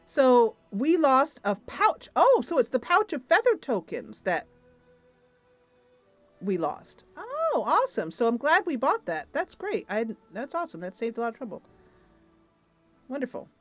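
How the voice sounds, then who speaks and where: Woman game streamer, very lively intonation, casual roleplay narration, unhurried pace, animated storyteller, soft music behind. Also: high voice.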